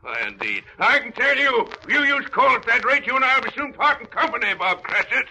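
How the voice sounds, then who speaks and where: A man's voice: very high voice, big pitch swings, brisk, gravelly, pushed and bright, exaggerated voice. An actor playing a miserly, scornful old businessman in 1930s radio drama, curt and grumbling. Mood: irritable, barking. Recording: noisy vintage 1930s radio broadcast.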